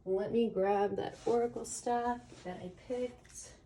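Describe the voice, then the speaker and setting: A woman hosting a casual tarot card reading in an informal, playful way, her voice slightly raspy as she gets over a cold. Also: fairly high pitch, fairly even intonation, slow pace, warm tone, far from the mic. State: getting over a cold or allergies. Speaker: tarot reader hosting a pick a card channel.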